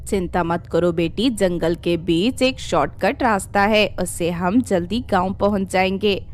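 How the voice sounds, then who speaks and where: A woman's voice: lowish voice, a little slow, slight background noise, voice pushed down, lightly acted. A woman voicing a poor, caring village mother in a story, earnest and reassuring.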